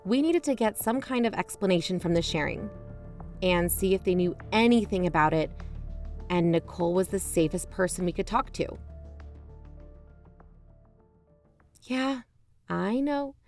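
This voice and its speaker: A woman's voice: fairly low pitch, expressive, moderate pace, a little mellow. Actress as suspicious woman podcast host, sardonic n confiding, telling it like a chat, faint music under. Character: suspicious, self-justifying woman investigator hosting a podcast. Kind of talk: conversational podcast-style scripted narration.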